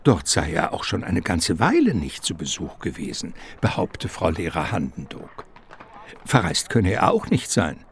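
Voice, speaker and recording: A man narrating a radio play in an expressive, theatrical style, with suspense and irony. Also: deep voice, unhurried, creaky, slight background noise.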